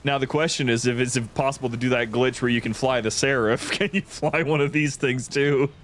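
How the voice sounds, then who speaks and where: A man's voice: mid-range voice, steady tone, a little fast, a little hiss or hum. A man chatting casually as a game streamer, enthusiastic and giggly, with a rambling way of talking. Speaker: streamer co-hosting a mod showcase. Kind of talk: casual gameplay chat. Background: game music.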